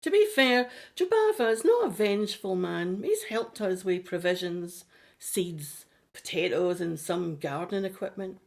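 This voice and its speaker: A woman playing a gentle, idealistic settler in an audio drama, explaining things earnestly. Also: on the low side, animated, measured pace.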